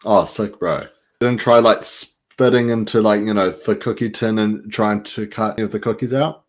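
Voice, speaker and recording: A man's voice: fairly low pitch, fairly even intonation, moderate pace. A young man with an Australian accent hosting a casual podcast interview, laid-back and full of slang. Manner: laid-back, slangy, says "bro" and "reckon". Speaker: podcast host.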